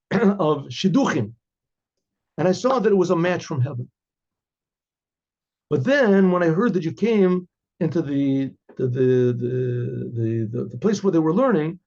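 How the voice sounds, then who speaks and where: A man, a rabbi, telling religious stories informally in a rambling, conversational way, with hesitations, repetitions and frequent throat-clearing. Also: on the high side, darkish tone. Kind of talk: informal oral storytelling with religious commentary. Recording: dull, lo-fi recording.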